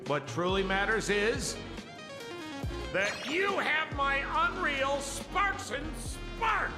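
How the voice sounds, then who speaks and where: A man playing an excitable old tavern informant in a video game, teasing and theatrical as he spins his stories. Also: very high voice, takes it slowly, full character voice. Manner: theatrical, teasing storyteller with dramatic exclamations. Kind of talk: animated video game acting. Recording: echoey, reverberant, over music.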